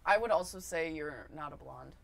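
A woman's voice: sits low, animated, moderate pace, creaky. A woman in her twenties with an American accent, casually chatting with viewers and friends as co-host of a gaming stream. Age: 20s. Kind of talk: casual chat while playing a video game. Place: indoor studio set on a couch. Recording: loud game music behind the talk. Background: loud video game music.

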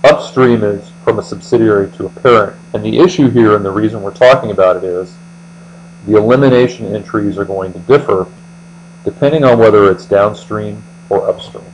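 Low-voiced American man tutoring, humming background. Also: a little fast, low-quality recording. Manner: methodical, step-by-step explaining. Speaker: accounting tutor. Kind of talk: explanatory screencast lecture. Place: indoors, voice-over on screen recording. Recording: steady mains hum.

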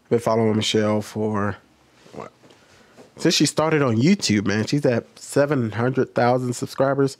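A man's voice: mid-range voice, moderate pace. Conversational American man giving opinions. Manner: conversational, self-questioning. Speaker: commentary video host. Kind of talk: casual opinion commentary. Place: small home room studio. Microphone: microphone on boom arm.

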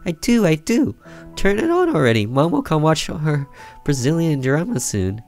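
A man voicing an insistent, whiny, excited little sister in a horror visual novel game. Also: fairly high pitch, moderate pace, faint music behind, pitched above the performer's own voice. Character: bratty, stubborn little sister, excited young girl.

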